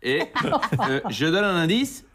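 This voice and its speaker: Animated jokey radio columnist. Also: mid-range voice, unhurried, slight background noise. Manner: jokey, deadpan quiz delivery with bursts of laughter. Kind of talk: comic radio bit.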